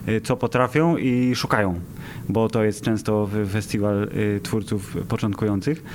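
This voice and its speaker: A man's voice: on the low side, fairly even intonation, moderate pace, a little mellow, a little hiss or hum. Wry man chatting about film. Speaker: podcast co-host. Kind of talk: casual film discussion.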